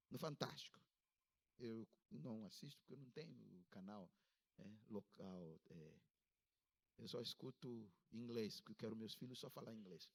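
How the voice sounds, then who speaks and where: Ghanaian-accented man preaching with soaring emphasis. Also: slightly rough. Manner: emphatic preaching with sudden high-pitched rises. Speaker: pastor. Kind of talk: sermon. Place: church service.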